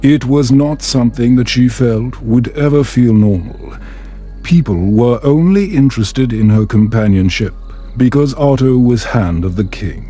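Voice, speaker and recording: A man reading a scripted bedtime story in a calming, soothing, measured way. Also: mid-range voice, soft background music. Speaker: storyteller, narrator.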